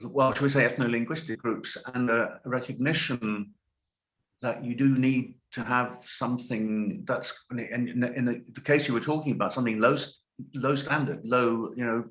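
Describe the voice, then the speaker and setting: A man's voice: medium pitch, steady tone, moderate pace, a bit bright, poor audio. A man in his sixties, a guest lecturer answering audience questions over a video call, thoughtful and hedging as he corrects himself.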